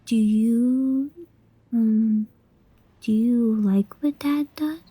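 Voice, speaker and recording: A woman playing a practical, gently questioning schoolgirl daughter in an audio drama. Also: fairly high pitch, extremely slow, husky, no room sound, full character voice. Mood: thoughtful.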